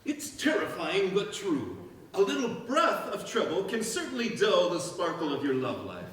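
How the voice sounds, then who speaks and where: A man playing a hammy announcer and pitchman in an old-time radio show. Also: wildly swooping pitch, normal speed, fairly bright tone, exaggerated voice. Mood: dramatic, upbeat. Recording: echoey hall, distant mics, faint noise.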